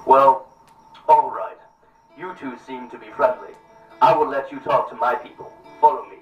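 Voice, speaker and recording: A man's voice: mid-range voice, fairly even intonation, moderate pace, natural voice. A man playing a proud, hospitable villager in a puppet show, speaking emphatically. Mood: welcoming. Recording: echoey distant dull stage recording with music.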